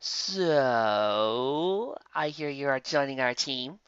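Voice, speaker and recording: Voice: mid-range voice, lively intonation, extremely slow, a bit bright, smooth, no echo, highs cut off, heavily acted. A narrator playing a sly, teasing unicorn mare. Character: teasing, flirtatious, insinuating unicorn mare, casually smug. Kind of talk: fan fiction character dialogue.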